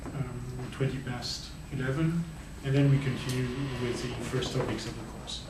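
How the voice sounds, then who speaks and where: A man's voice: medium pitch, moderate pace. A man lecturing at a university, hesitant and prone to repeating words. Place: lecture hall. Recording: echoey hall, distant mic, loud noise.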